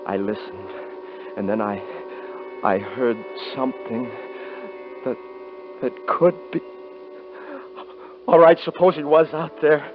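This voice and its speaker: An actor playing a frightened young hunter who also narrates, in a 1950s radio drama, stammering and panicky. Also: male voice, mid-range voice, fairly even intonation, slow, long pauses, music in the background, lightly acted. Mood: tense narration. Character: lone young male hunter, frightened, haunted. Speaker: narrator and lead character. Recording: dull old radio broadcast.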